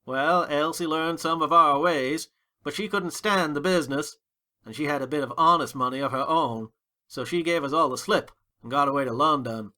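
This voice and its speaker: Man playing a bright, forceful hot-tempered American gangster. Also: fairly high pitch, moderate pace. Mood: confessional. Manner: slangy, suspicious, emphatic. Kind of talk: audiobook dramatic reading.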